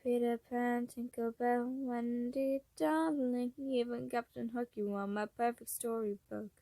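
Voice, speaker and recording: Woman voicing a shy, soft, hesitant young romantic man. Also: a little high, fairly even intonation, a little slow, no room sound. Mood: soft singing. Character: shy, gentle, softly spoken man in love.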